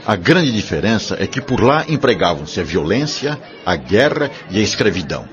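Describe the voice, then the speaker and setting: A man's voice: fairly low pitch, sing-song, moderate pace. A man with a Brazilian accent playing a wise, benevolent spirit mentor in an audio drama, teaching solemnly in formal words. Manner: solemn, didactic, formal diction. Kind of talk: audio drama dialogue, explanatory. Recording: dull lo-fi audio with music behind.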